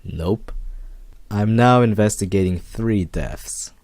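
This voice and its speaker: Man playing a low, slow hard-boiled private detective. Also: a little mellow, dry recording. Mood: dry, wisecracking. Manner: terse, dry, cynical. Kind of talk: noir detective reading.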